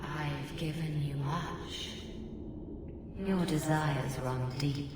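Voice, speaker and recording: A woman voicing a seductive, calmly manipulative female demon in a video game, speaking in a silky, deliberate way. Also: sits low, distant mic. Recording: echoing cavernous reverb, noisy background.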